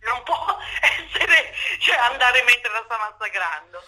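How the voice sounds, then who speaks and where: Impassioned, very bright-voiced woman. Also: a little high, expressive, takes it slowly, poor audio. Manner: emphatic, impassioned, wordy, often seeks agreement. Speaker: theatre director being interviewed. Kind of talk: spontaneous interview talk.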